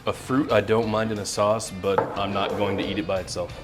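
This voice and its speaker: Man, low pitch, interview host, casual comedic chat, informal delivery. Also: steady tone, brisk, music in the background.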